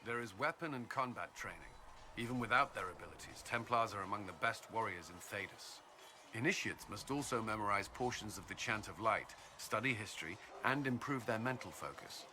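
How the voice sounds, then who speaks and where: A man's voice: on the low side, expressive, noisy background, lightly acted. An actor playing an earnest, dutiful military commander in a fantasy video game, a little hesitant in his speech. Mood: reflective, sincere. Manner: hesitant, halting when flustered.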